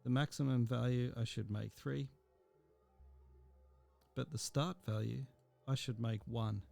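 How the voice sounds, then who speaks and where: Man, teacher, screencast tutorial, informal step-by-step explaining, gravelly low-key mellow tone. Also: steady tone, measured pace, faint music behind.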